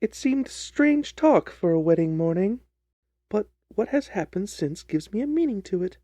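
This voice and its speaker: A man's voice: high voice, sing-song, normal speed, mellow, dry recording. A man voicing an earnest, trusting, distressed young jilted bride in a dramatised story reading. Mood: loyal, troubled. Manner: earnest, wordy, pleading.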